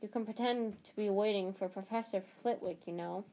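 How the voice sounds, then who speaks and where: A blunt, teasing teenage boy, voiced by a woman reading aloud, offhand and quick to scoff. The voice sits near her own mid pitch, read from a storybook. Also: dark tone, slight background noise, lightly acted, poor audio. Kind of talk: reading a children's novel aloud.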